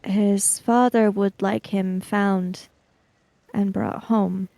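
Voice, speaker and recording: Slow-spoken woman with a creaky voice. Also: mid-range voice, steady tone, warm tone, no echo. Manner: measured, deliberate, halting phrasing. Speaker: tabletop roleplay player. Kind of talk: improvised in-character roleplay dialogue.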